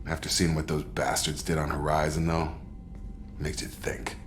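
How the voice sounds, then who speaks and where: An actor playing a loyal, reflective soldier crewmate in a video game, talking in a casual, plainspoken way with clipped sentences. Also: male voice, a bass voice, monotone, normal speed, a little hiss or hum. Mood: sober, reflective. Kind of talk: dramatic video game dialogue.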